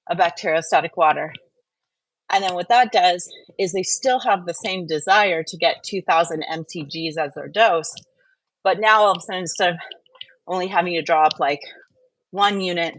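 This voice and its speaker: Female livestream host, slightly low voice, dry close sound, conversational step-by-step explainer. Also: dry recording, poor audio. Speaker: host of a live wellness stream. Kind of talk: casual explanatory livestream talk.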